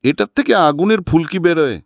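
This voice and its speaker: A man's voice: a little high, animated, brisk, vocal fry, no room sound, voice pushed up. A man voicing an eager, imaginative young boy full of questions in an audiobook story. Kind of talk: audiobook character acting.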